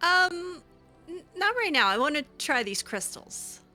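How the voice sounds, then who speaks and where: A woman's voice: very high voice, very animated, measured pace, sharp tone, soft background music. A woman playing a video game, improvising chatty, hesitant and effusive roleplay commentary in character. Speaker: video game player and host.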